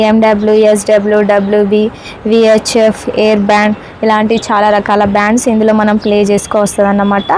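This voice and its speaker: A woman with a Telangana Telugu accent speaks in a fairly high voice. She gives a casual, explanatory gadget demo, often checking that viewers follow. Faint noise sits behind her. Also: darkish tone, rough recording. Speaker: product reviewer. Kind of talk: casual hands-on gadget demonstration.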